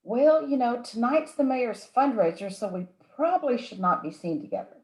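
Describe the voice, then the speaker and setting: An actress playing a sly, flirtatious nightclub dame in a campy 1940s radio noir play. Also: female voice, fairly high pitch, animated, normal speed, natural voice. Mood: flirtatious, coy. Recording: roomy live stage sound.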